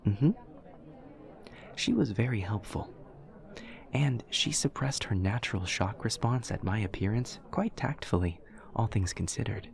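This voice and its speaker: A man's voice: lowish voice, unhurried, creaky, long pauses. A man playing an awkward, earnest young demon student in an audio roleplay, speaking in a formal, literal, over-explaining way. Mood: earnest, matter-of-fact. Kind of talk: audio roleplay acting, addressing the listener.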